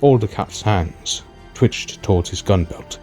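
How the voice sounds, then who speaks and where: A man as a stately, low-voiced king. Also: expressive, warm tone, faint music behind. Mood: measured narration. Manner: formal, measured, stately. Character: dignified, resolute aging king, calm and fatherly. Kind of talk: fan audio drama acting.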